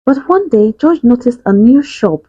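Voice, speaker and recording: A woman's voice: a little high, lively intonation, moderate pace, mellow, breathy edge, no room sound, muffled recording. A woman narrating a children's picture book in a read-aloud style. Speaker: storybook reader.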